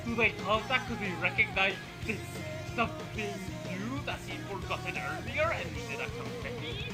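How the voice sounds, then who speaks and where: A man chatting casually and jokingly, rambling along with halting restarts. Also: high-pitched, animated, rough recording. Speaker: co-host reading fan fiction aloud. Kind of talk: casual chat. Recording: loud music over the voice. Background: music.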